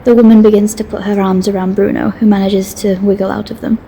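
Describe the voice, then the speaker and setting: A woman narrates a story from a script in an even, flat pitch. Her voice is dark and mellow with heavy fry, and there is faint noise behind it. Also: fast talker, poor audio.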